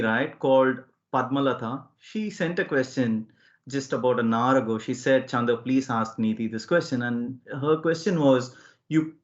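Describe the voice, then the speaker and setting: A man's voice: medium pitch, dull audio. A man hosting a podcast, asking questions with enthusiasm and frequent wows. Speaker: podcast host. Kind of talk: casual interview questions.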